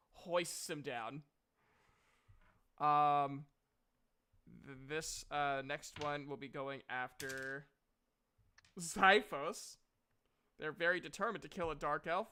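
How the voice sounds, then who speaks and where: A man's voice: on the high side, pushed and bright, a little hiss or hum. A man narrating a tabletop game as game master, casual and improvised, with joking, profane asides. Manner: jokey, profane.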